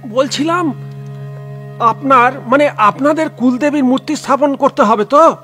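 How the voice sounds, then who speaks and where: Very high-voiced male priest acted by a man. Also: a little slow, sharp tone, soft background music, full character voice. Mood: formal.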